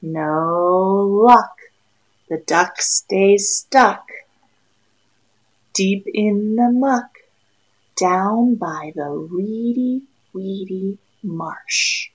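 A woman's voice: medium pitch, extremely slow, rough recording. A woman in her thirties, a preschool teacher, reading a picture book aloud expressively and in a sing-song way to young children. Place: small room indoors.

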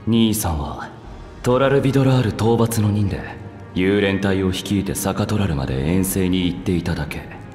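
A man playing a scholarly young prince in a video game, speaking in a formal, measured and articulate way. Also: sits low, lively intonation, a little mellow. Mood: coolly disdainful. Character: composed, scholarly young prince, politely cutting. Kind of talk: Japanese video game voice acting. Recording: echoey hall reverb, background music.

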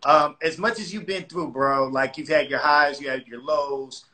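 Bright-voiced man hosting a chat. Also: fairly high pitch, low-quality recording. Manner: supportive, affirming, measured. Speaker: host. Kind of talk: casual interview over live video.

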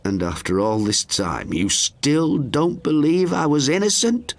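A man's voice: on the high side, lively intonation, measured pace, pitched above the performer's own voice. A man playing a bitter, growling grown man. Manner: scoffing, growling, resentful.

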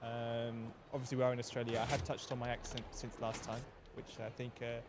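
A man's voice: medium pitch, fairly quick, noisy background. Laughing man hosting a casual podcast. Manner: laughs often, relaxed and joking. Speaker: podcast co-host. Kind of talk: casual chat. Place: indoor space near a pop-up store.

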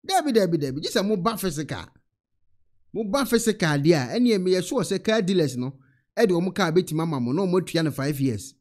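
A young man with a Ghanaian accent preaching a sermon on a church stage, firing off rhetorical questions and repeating phrases into a handheld mic. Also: high voice. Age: young adult. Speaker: pastor.

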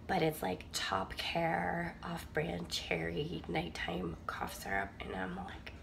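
A hoarse woman in her thirties talks into a phone held at arm's length in her kitchen, her voice gravelly and rough with a lot of fry. She sounds a touch low for a woman and lets her pitch swing widely as she teases herself. A steady hiss runs under the home recording. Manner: self-mocking, giggly. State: hoarse from a long cough. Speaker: vlogger. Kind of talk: casual vlog chat. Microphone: phone camera at arm's length.

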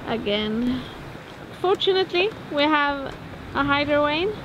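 A woman's voice: high voice, lively intonation, a little slow, dull audio, noisy background. A woman with a Swedish accent chatting casually for a travel vlog. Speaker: sailing vlogger.

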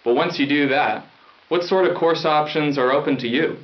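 A man's voice: fairly high pitch, moderate pace, close to an everyday voice. Man acting an agreeable teenage school news anchor, lo-fi. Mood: reassuring. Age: young adult. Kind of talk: scripted school news presenting, acted. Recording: dull lo-fi 1990s VHS sound.

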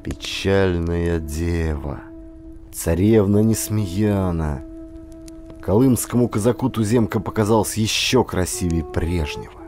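A man narrating a historical adventure audiobook as a scripted, expressive dramatic storytelling. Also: sits low, slow pace, soft background music. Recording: clear ambient sound effects behind voice. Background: ambience sound effects.

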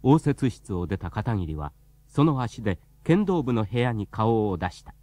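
A man giving measured, formal scripted narration for a radio drama. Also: lowish voice, sing-song, warm tone, dry recording. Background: music.

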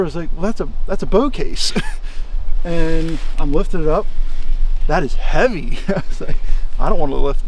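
A young man talks loosely while out hunting, laughing often and thinking aloud. His voice sits a little back from the camera. A clear hiss of outdoor noise runs under it. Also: on the high side, darkish tone, recorded from a distance, poor audio. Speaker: hunting vlogger. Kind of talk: casual outdoor chat. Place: woods outdoors.

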